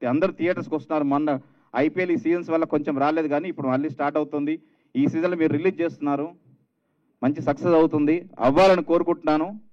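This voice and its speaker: A man giving an informal, earnest speech at an event, halting and repeating phrases in a dull recording. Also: fairly high pitch. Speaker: guest speaker at a film launch.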